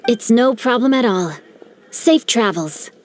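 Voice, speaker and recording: A woman's voice: fairly high pitch, expressive, measured pace, background noise. A woman voicing a composed, courteous figure telling an old tale. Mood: calm. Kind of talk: video game voice acting.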